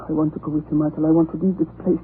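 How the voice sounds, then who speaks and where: A woman's voice: sits low, little pitch movement, rapid-fire, close to an everyday voice. Woman playing a coaxing, scheming Russian mistress. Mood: seductive, coaxing. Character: scheming, seductive, frightened Russian mistress of a commandant. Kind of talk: old-time radio drama acting. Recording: scratchy narrow vintage radio broadcast.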